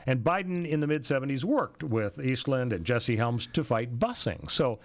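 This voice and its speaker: A man's voice: mid-range voice, animated, normal speed, dry recording. An American man hosting a radio talk show with conversational political commentary. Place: radio studio.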